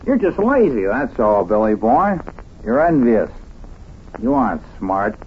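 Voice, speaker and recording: An actor playing a boastful, belligerent drunken husband in an old-time radio drama, with a taunting, slurred drawl. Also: male voice, mid-range voice, very animated, a little slow, a little hiss or hum. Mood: belligerent boasting. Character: boastful, belligerent drunken husband, hard-driving high earner. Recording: dull vintage radio recording.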